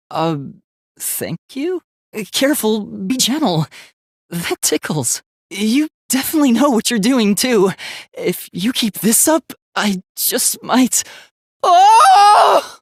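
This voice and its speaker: Casting: earnest young robot boy for a video game, very expressive pitch, courteous and literal-minded, close dry sound. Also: dry recording, heavily acted. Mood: flustered. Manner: formal, precise, literal-minded phrasing. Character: earnest, polite young male robot, naive but resolute.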